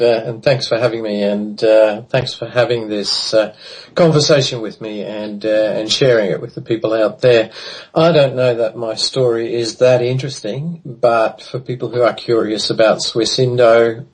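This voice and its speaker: A man in his fifties, raised in Australia and the Netherlands, telling his background slowly in a remote interview, with many pauses and fillers. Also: medium pitch, fairly even intonation, breathy edge, rough recording. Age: 50s. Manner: hesitant, many ums and restarts. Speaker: interview guest. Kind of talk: casual recorded interview.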